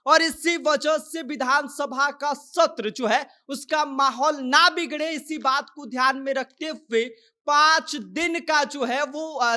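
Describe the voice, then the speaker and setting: A woman's voice: sits high, sharp tone. A woman presenting a news explainer in a scripted reporting style.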